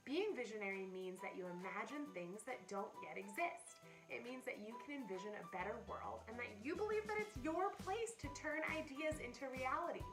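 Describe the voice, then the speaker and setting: Lady doing kids story time, high pitched and super lively, chatting to little ones and explaining stuff simply. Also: brisk, hardly any pauses, music in the background. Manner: animated, explaining simply to young children. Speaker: camp director hosting a children's story time. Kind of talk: children's read-aloud intro, talking to a puppet.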